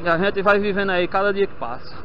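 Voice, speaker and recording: A man's voice: high voice, fast. A young man, chatting casually to his viewers as family while riding a motorcycle through city streets. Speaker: motovlogger. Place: on a motorcycle in city traffic, outdoors. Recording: dull lo-fi helmet mic with road noise.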